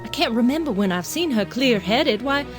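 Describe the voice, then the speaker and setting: A woman's voice: a little high, expressive, normal speed, close to an everyday voice. An actress in an audio drama playing an earnest grown daughter, pointed and emotional in the way she presses. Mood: accusing. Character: earnest, challenging grown daughter of a wealthy family. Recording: music under the dialogue.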